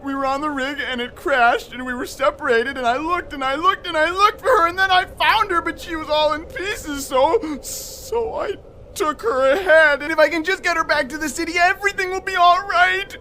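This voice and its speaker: A man's voice: very high voice, moderate pace, sharp tone, slight background noise. An actor playing a nervous, secretive boy in an audio drama, stammering and evasive. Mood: panicked, distraught.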